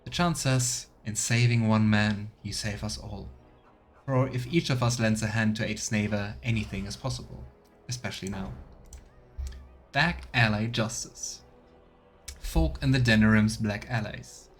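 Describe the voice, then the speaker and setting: A man's voice: on the low side, unhurried, soft background music. Man narrating a video game playthrough. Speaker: game streamer. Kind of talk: let's play, reading game quest text aloud with casual asides.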